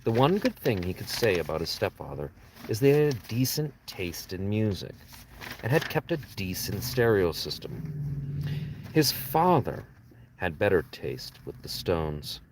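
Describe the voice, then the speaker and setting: A man's voice: deep voice, lively intonation, unhurried, slight background noise, rough recording. A man, an author reading his own novel aloud as an audiobook narration, with casual asides to listeners.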